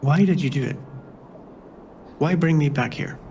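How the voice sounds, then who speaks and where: A man's voice: fairly high pitch, a little slow, darkish tone, a little hiss or hum. A man playing an earnest, questioning cousin in an audio drama. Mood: searching, grave. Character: earnest, questioning male cousin, brought back. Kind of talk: audio drama character acting.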